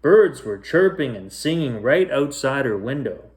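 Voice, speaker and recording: A man's voice: medium pitch, big pitch swings, a little slow. A man narrating a children's storybook as a bedtime reader, telling it phrase by phrase.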